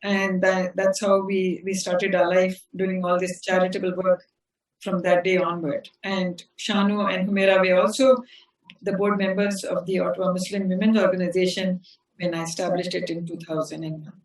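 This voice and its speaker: An older woman from South India, an interview guest and community leader, telling her story in an unhurried way and often restarting her phrases. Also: on the low side, flat delivery. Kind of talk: reflective personal storytelling. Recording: roomy sound from a distant mic.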